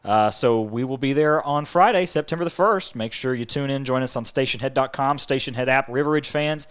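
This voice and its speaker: A man's voice: mid-range voice, brisk, a bit bright, clear, resonant tone. A man hosting a sports podcast in an upbeat, chatty presenting style.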